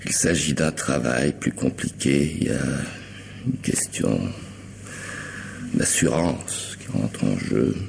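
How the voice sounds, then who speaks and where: An actor playing a sardonic, half-mad hired killer in a French radio mystery, mocking and unhurried in his false courtesy. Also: rumbling low, expressive, gravelly, a little hiss or hum, full character voice. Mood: cajoling, sinister.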